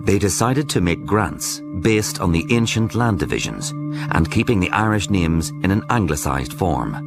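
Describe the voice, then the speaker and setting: A man giving scripted documentary narration in a measured, even storytelling manner, close to a studio mic. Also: low voice, gravelly, over loud music.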